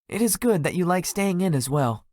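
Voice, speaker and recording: Woman voicing a low, lively, polite young executive guy. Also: moderate pace, no room sound, studio quality. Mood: earnest, mild. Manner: polite, slightly formal, hesitant. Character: shy young male tech executive, sleepy, polite homebody. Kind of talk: visual novel character dialogue.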